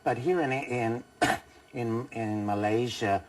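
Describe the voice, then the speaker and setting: A woman who works at an English language school, talking on a TV studio chat with halting restarts and emphatic turns. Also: a bass voice, far from the mic.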